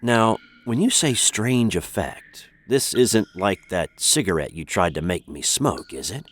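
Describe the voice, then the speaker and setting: A man's voice: fairly low pitch, sing-song, unhurried. A man with an American accent playing a grumpy, hapless prisoner in a comic radio sitcom, sardonic and exasperated.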